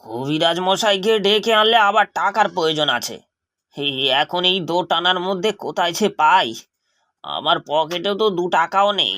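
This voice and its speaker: High-voiced, fretful village father played by a man. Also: lively intonation, moderate pace, bright, forceful tone. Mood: worried. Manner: fretful, lamenting. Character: poor, troubled village husband and father.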